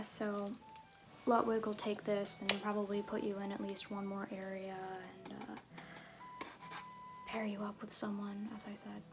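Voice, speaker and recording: An easygoing, slyly flirtatious circus fool, played by a woman speaks slowly over clear background music. The voice is fairly high and soft, hesitant and casual, often slipping toward a whisper in an ASMR roleplay style. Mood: friendly, brisk. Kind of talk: ASMR roleplay, improvised-sounding casual talk.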